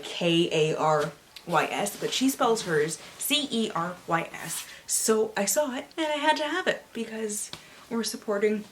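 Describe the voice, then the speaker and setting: Theatrical, excitable woman with a lowish voice. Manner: excitable, theatrical, dramatic emphasis. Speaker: book reviewer and vlogger. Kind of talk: book haul vlog with dramatic blurb readings.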